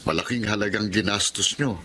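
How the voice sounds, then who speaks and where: A man's voice: on the low side, a little fast, noisy background, close to an everyday voice. An actor with a Filipino accent playing a kind, modest eldest brother in his forties in a radio drama. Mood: pleased, mildly doubtful. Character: kind, modest eldest brother of forty-two, self-sacrificing. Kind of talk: classic radio drama acting. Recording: music behind the voice.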